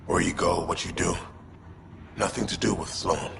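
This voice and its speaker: A man playing a gruff, pragmatic outlaw lieutenant in a video game, gravelly and terse. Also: sits low, steady tone, moderate pace. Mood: terse, persuading. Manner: terse, clipped, matter-of-fact. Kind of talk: video game cutscene acting. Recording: long reverb, clear background noise.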